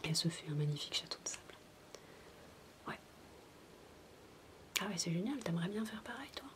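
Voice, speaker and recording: A woman in a soft, breathy, mostly whispered ASMR voice, telling a bedtime story as a chatty, hesitant babysitter. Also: lowish voice, lively intonation, a little fast. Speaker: ASMR roleplayer playing a babysitter. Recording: noisy homemade recording without binaural mic.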